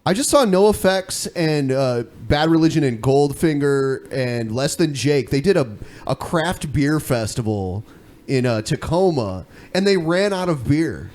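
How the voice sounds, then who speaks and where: Higher-voiced man hosting a casual podcast. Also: expressive, measured pace, darkish tone. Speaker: podcast host. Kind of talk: casual chat. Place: indoor room, podcast stream.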